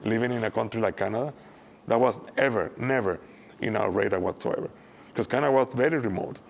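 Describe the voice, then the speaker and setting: A man in his fifties from Venezuela, with a Venezuelan Spanish accent, speaking hesitantly with frequent pauses and repeated words in a documentary interview. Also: on the low side, creaky, a little hiss or hum. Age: 50s. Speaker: former oil worker, interviewee. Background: soft guitar music.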